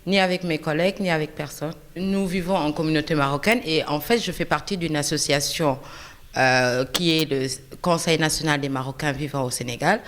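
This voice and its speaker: A woman doctor from Senegal introducing herself in an interview, calm and measured. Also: low voice, a little hiss or hum. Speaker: doctor, association vice-president.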